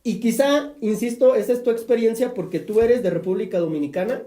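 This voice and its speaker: A Mexican priest hosts a live-streamed faith program, talking at length in a chatty, preaching way with joking asides. His voice is mellow and dark. The sound bounces around an echoey room. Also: sits high, a little fast. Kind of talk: live-streamed religious talk show.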